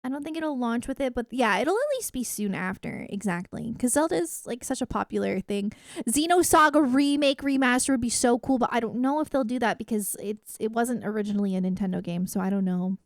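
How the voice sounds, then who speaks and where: High-voiced woman chatting on a livestream. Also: expressive, a little fast, dry recording, studio quality. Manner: chatty, enthusiastic gamer talk with emphatic repeats. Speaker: video game livestreamer. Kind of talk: casual livestream chat with viewers.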